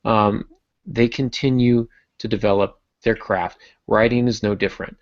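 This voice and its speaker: A man hosting a podcast in casual discussion, thinking aloud in a halting way. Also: lowish voice, steady tone, dry recording, muffled recording. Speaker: podcast host.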